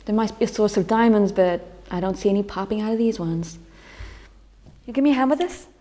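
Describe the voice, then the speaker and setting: A woman acting a down-to-earth, educated, gently sarcastic flatmate in a sitcom table read. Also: mid-range voice, brisk, close to an everyday voice. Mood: matter-of-fact.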